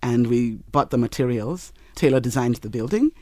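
A man, a Yoruba speaker, telling his life story in an interview in an earnest, measured way. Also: medium pitch, expressive, warm tone, no echo, studio quality. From Nigeria. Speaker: interview guest.

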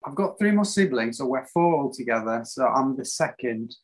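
A man's voice: mid-range voice, lively intonation, moderate pace. A man from Greece, talking openly and reflectively as a podcast interview guest, with frequent fillers. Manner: open, reflective, many fillers. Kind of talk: casual interview conversation.

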